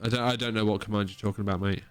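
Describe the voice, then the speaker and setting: A man's voice: on the low side, steady tone, racing, smooth, faint music behind. A young man co-hosting a podcast, ribbing his friend with dry, sweary humor. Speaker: podcast co-host. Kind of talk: casual banter.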